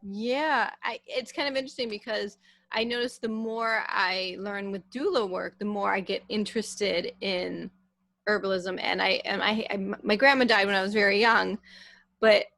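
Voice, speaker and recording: A woman's voice: mid-range voice, fairly even intonation, normal speed, vocal fry, a bit bright, no room sound. A woman hosting a casual video call interview, friendly and affirming as she asks her guest questions. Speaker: interview host.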